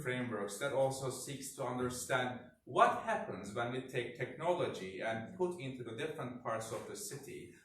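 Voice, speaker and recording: A man with a non-native English accent giving a conference presentation as a researcher, in a halting manner. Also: mid-range voice, fairly bright tone. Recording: echoey room, distant mic, noisy.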